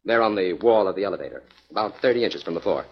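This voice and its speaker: An actor playing a confident, methodical amateur detective in a 1940s radio drama, explaining with dry irony. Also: male voice, low voice, lively intonation, fast talker, slightly rough, exaggerated voice. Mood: confident, insistent. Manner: assured, explanatory, dryly ironic. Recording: dull, lo-fi 1940s radio recording.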